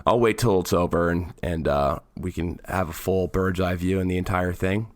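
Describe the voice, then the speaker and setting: Low, raspy man with a flu. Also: steady tone, moderate pace, dry recording. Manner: rambling, restarts sentences often. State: hoarse from flu. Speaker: podcast co-host. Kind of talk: casual political chat.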